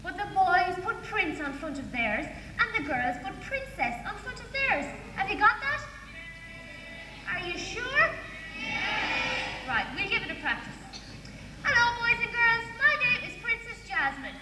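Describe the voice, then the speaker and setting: Young actress as playful panto princess, very high voice, talking to children, echoey hall. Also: female voice, big pitch swings, a little slow, background noise, heavily acted. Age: young adult. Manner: bright exaggerated address to children. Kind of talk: stage pantomime acting. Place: theatre stage, live performance. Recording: echoey theatre hall, music behind.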